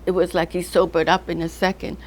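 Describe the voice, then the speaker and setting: A woman's voice: on the low side, little pitch movement, a little fast, a little hiss or hum, poor audio. An older woman artist, born in North Africa, reminiscing in a casual interview, drifting loosely from one name and memory to the next and often laughing through her stories. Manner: rambling, loose storytelling that drifts between names and memories.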